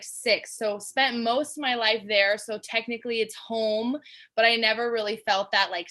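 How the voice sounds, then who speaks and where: A woman's voice: mid-range voice, sharp tone. A young woman from Georgia, a guest in a casual interview, talking in a bubbly, chatty way with lots of fillers. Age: young adult.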